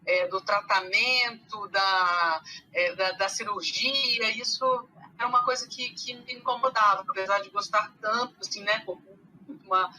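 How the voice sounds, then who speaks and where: A woman from Rio de Janeiro with a mixed Brazilian accent, carioca with traces of Minas, chatting as a podcast guest with many pauses and fillers. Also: mid-range voice, bright, forceful tone, slight background noise, poor audio. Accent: mixed Brazilian Portuguese, carioca with Minas traces. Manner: hesitant, many fillers and restarts. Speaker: guest, animal science teacher. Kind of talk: casual podcast conversation.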